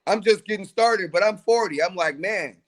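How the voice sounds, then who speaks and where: A man in his forties speaks with a noticeably high voice, pressing his points in an emphatic, motivational way. He is a coach chatting on a podcast. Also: normal speed. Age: 40s. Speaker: track coach and trainer. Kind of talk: casual podcast conversation.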